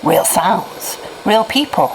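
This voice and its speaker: Station ident: actress as showy time-travel announcer, animated swings, noisy ambience. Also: female voice, medium pitch, slow. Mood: theatrical. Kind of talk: scripted radio jingle announcement. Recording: clear background noise and ambience.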